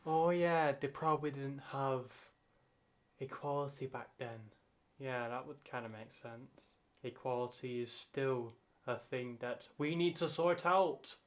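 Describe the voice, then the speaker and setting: A man reacting casually off the cuff, in a halting way, often repeating and rephrasing himself. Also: mid-range voice. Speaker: reactor and channel host.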